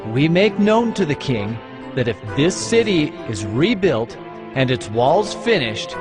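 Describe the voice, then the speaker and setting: A man's voice: a little high, very animated, a little slow. An actor playing an accusing provincial official addressing his king in a formal, declamatory dramatized scripture reading. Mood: urgent, accusing. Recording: music behind the voice.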